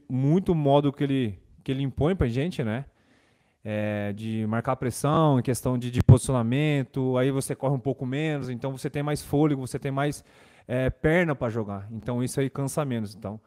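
A young Brazilian man answers reporters at a press conference, talking casually and often repeating himself as he thinks. His voice is mellow and middle-pitched, picked up by a desk microphone in a club press room. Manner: casual, repeats words and fillers.

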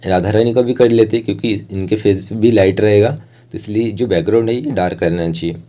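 A man's voice: on the low side, a little fast, dark tone. A man giving an informal, plain step-by-step art tutorial as a voice-over instructor.

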